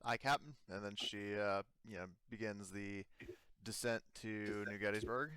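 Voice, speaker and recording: Low, smooth-voiced man running a game. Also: fairly even intonation, bright, forceful tone. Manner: halting, searching for words. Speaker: game master. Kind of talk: narrating a tabletop roleplaying game, improvised.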